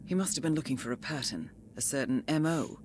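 An actress playing a composed, articulate intelligence handler, speaking precisely and formally in a scripted game briefing. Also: female voice, very deep voice, lively intonation, brisk, noisy background. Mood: grave, intent. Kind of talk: scripted mission briefing, dramatic game acting. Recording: dramatic music under the voice.

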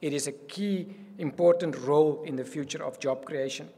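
An older man with a South African accent, Afrikaans his first language, delivers an earnest, animated welcome at a podium. His voice sits a little high and husky. A long reverb from the reception hall trails each phrase. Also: normal speed. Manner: earnest and animated, gesturing widely. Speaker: university provost. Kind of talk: welcome speech. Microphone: podium microphone.

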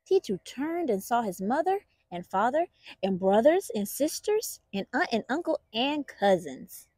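A woman reading a children's picture book aloud as a storytime host, in an expressive, deliberate storyteller's manner. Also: a little high, no room sound.

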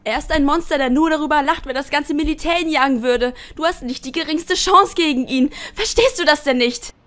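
A woman's voice: sits high, fairly quick, a bit bright. A woman voicing an anxious, hot-tempered teenage girl in an emotional, pleading manner for an anime fan dub. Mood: desperate, shouting. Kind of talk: dramatic anime dub acting. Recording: fan dub with music underneath. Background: background music.

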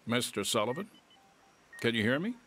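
An actor playing a sympathetic, sombre doctor in a radio drama, gentle and hesitant. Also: male voice, medium pitch, big pitch swings, moderate pace, faint music behind. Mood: grave, sorry.

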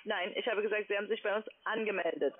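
A woman's voice: medium pitch, quick pace. A woman speaking in a curt, impatient way as a call center agent on a phone call from an open-plan office. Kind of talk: phone sales call turning into argument. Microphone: telephone.

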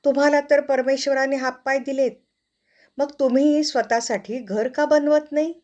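Woman voicing a high-pitched, earnest little storybook bird. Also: fairly even intonation, moderate pace, darkish tone, highs cut off, natural voice. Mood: eager, chirpy. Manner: earnest, chirpy, advising. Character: small well-meaning birds giving earnest, preachy advice. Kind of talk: children's storybook character voice.

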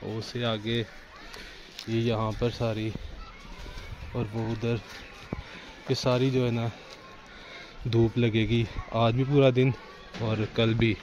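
A man in his thirties, chats casually to viewers while doing rooftop chores in his village, filming on a phone at arm's length. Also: medium pitch. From Pakistan. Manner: chatty, explains to viewers as he works, teasing. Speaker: village vlogger. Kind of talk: casual vlog narration to camera. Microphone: phone camera at arm's length. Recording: noisy outdoor phone audio.

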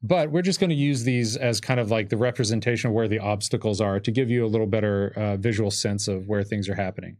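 A man's voice: fairly low pitch, steady tone, fast, hardly any pauses, darkish tone. A man in his thirties casually explaining tabletop game rules in a hesitant, loosely phrased way. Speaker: game designer and co-host. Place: indoor studio with curtain backdrop. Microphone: microphone on desk stand.

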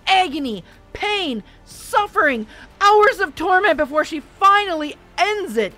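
A woman voicing a furious, cruel man leading a band of hunters, shouting and menacing. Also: medium pitch, measured pace, soft background music, natural voice. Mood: raging.

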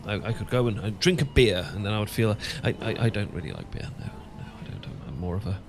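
A man's voice: lowish voice, expressive, quick pace, background noise. A man with a British accent playing an awkward, sentimental English professor in a romantic ASMR roleplay, stammering and hesitant. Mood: bashful. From Britain. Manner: stammering, hesitant, full of false starts. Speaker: audio roleplay performer.

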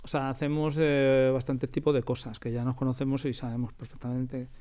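A man's voice: medium pitch, level tone, brisk, mellow. A man with an accent from Spain chatting casually in an interview as a director, informal and emphatic, often repeating himself. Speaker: radio drama director and sound mixer. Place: radio drama studio.

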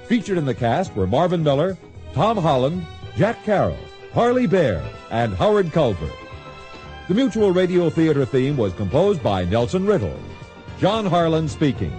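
Radio announcer, male: warm mellow tone, very varied pitch, scripted credit read. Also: sing-song, moderate pace, faint music behind.

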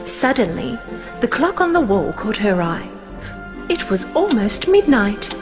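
A woman's voice: on the high side, big pitch swings, measured pace, music in the background. A woman narrating a scripted fairy tale as a storyteller.

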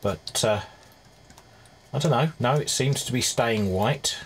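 A man's voice: medium pitch, takes it slowly. A man giving an informal hands-on demonstration, thinking aloud as he works at his bench. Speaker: restorer presenting a hobby repair video. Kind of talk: casual hands-on demonstration commentary. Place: indoor cluttered electronics workbench. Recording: clear background noise, workshop ambience.

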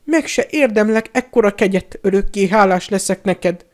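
A man's voice: high voice, voice pushed up, lightly acted. A man voicing a cowardly, snivelling middle-aged traitor in an audiobook, whining and pleading in a wheedling, grovelling way. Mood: grovelling gratitude. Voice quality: whining, whimpering. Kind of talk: audiobook character acting.

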